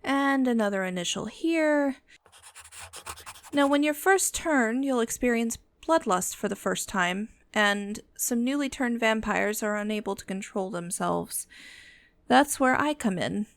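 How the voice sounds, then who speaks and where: A woman's voice: fairly high pitch, expressive, a little slow, no echo. A woman playing a bossy, protective immortal vampire in an ASMR roleplay, speaking with dry, commanding condescension. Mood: bossy, condescending. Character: bossy, protective female vampire, immortal, businesslike and dryly menacing. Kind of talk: scripted ASMR roleplay audio, addressing the listener.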